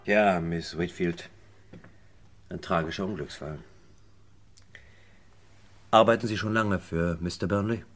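An actor playing a dry, terse police detective in a German radio crime play, speaking in a laconic, unhurried way. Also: male voice, low-pitched, steady tone, a bit bright, soft background music. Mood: sympathetic, measured. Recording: old radio play with clear background noise.

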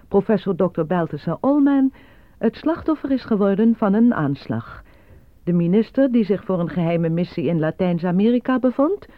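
A woman's voice: medium pitch, dry recording, lightly acted. Woman doing solemn official news announcer, radio play, dry thin phone-like sound. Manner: formal, official. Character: formal broadcast newsreader giving a solemn bulletin. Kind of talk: scripted news bulletin in a radio drama. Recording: dry, phone-like narrow band.

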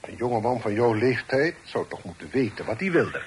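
Casting: male actor playing a worldly, formal middle-aged father in radio drama, measured yet very varied in intonation, faint noise on an old recording. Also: mid-range voice, very animated, close to an everyday voice. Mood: businesslike, firm. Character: authoritative, worldly father advising his grown son. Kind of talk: Dutch radio play acting.

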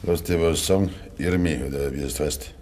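An actor playing a gruff, stubborn, grieving old farmer in Bavarian dialect in a 1950s radio play, brooding and curt. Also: male voice, very deep voice, moderate pace, a little mellow. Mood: bitter, gruff. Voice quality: gravelly. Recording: 1950s radio recording with faint hiss.

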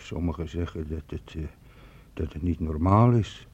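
Deep, husky, uneasy soldier. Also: male voice, normal speed, darkish tone, highs cut off. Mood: uneasy, secretive. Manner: hesitant, ominous. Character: uneasy, gossiping soldier reporting to his captain. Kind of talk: radio drama dialogue.

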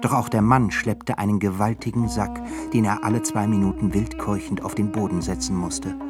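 A man narrating a radio play in a measured, formal literary reading. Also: fairly low pitch, steady tone. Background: music.

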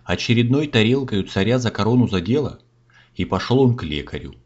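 A man's voice: lowish voice, animated, fairly quick, heavy background noise. A man narrating a comic fairy tale in folksy, theatrical rhyme. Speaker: storyteller, narrator. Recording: slightly dull audio, music behind.

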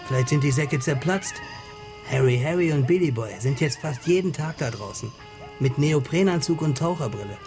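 A man's voice: on the high side, animated, normal speed, a little mellow, faint music behind. An actor in a radio crime drama playing an eager, plotting boatman who talks in a keen, colloquial way. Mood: keen, scheming.

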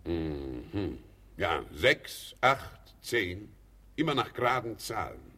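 A man's voice: low-pitched, big pitch swings, slow pace, sharp tone, exaggerated voice. An actor playing a pompous, glib quack doctor and proud father in a German radio comedy. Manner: pompous, sprinkled with Latin.

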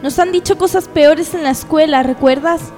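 Woman as very high-pitched sweet young daughter. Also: moderate pace, dark tone, soft background music, close to an everyday voice. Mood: affectionate. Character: sweet, apologetic, girlish young returning daughter. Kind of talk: radio soap opera parody.

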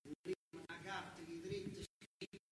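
A man playing a proud, earnest old partisan grandfather in a stage monologue, with a southern Italian accent and halting, rustic, repetitive speech. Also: high-pitched, level tone, music in the background, lightly acted. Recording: very noisy, echoing distant stage recording.

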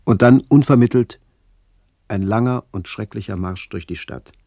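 Very deep, dark-voiced male narrator. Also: moderate pace, creaky, no echo, studio quality. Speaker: narrator recalling childhood memories. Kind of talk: scripted literary narration for a radio documentary.

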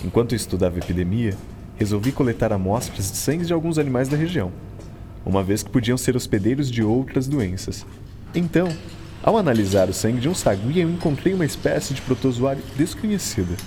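An actor playing a modest, enthusiastic early twentieth-century scientist in a radio drama, with a Brazilian accent and a formal, didactic manner. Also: male voice, mid-range voice, big pitch swings, brisk, mellow, natural voice. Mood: eager explaining. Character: modest, enthusiastic early-1900s male scientist explaining discoveries. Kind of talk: educational radio drama acting. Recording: noisy ambience behind studio radio drama.

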